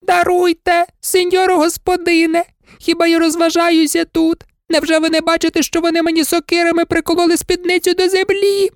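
A woman's voice: very high-pitched, flat delivery, brisk, no room sound, clean studio sound, voice pushed up. A woman voicing a frightened, chattering old servant woman who pleads and wails. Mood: panicked pleading. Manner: pleading, wailing.

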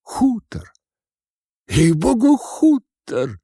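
Man putting on a high, wheedling young student. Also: wildly swooping pitch, extremely slow, warm tone, dry recording, studio quality, full character voice. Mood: excited. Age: young adult. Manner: pleading, wheedling, high-pitched calling out. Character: cheeky, hungry young male seminary student, wheedling.

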